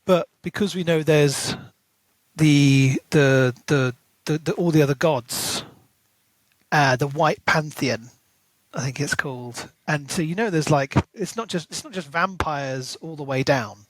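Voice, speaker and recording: A man's voice: a little high, measured pace, no room sound, poor audio. A man co-hosting a book podcast, chatting casually and enthusiastically, with plenty of ums and restarts. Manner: chatty, filler-heavy, enthusiastic. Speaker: podcast co-host.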